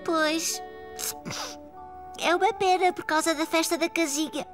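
A woman's voice: very high-pitched, fairly even intonation, a little slow, soft background music. A woman voicing a sweet young girl in a children's cartoon, with a European Portuguese accent. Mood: glum.

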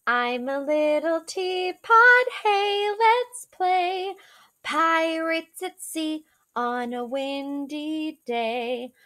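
High-pitched young woman, very slow storytelling. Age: young adult. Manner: animated, drawn-out, expressive delivery for young children. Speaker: teacher reading aloud to children. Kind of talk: children's picture book read-aloud, partly sung. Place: small living room, seated in an armchair indoors.

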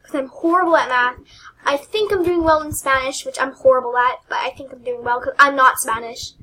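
Young woman, squeaky and high, rambling and giggly, self-mocking game channel host. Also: a little fast, sharp tone, rough recording. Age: teens. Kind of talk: casual gameplay commentary.

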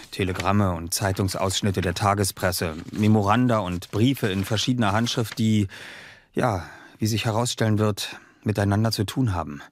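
An actor playing a solemn witness, giving a deliberate, formal prologue monologue in an audio drama. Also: male voice, on the low side, dry recording, studio quality, lightly acted. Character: solemn male witness presenting documents of uncanny events.

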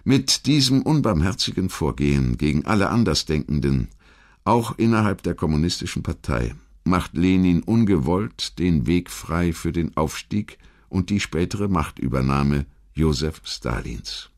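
Low-voiced male documentary narrator. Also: sing-song. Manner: measured, articulate, formal. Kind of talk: scripted historical narration.

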